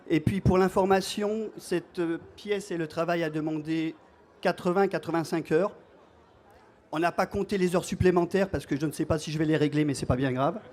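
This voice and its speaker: A man's voice: fairly high pitch, darkish tone. Friendly man giving thanks. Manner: friendly, joking, a bit halting with fillers. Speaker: baker thanking guests. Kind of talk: informal thank-you speech.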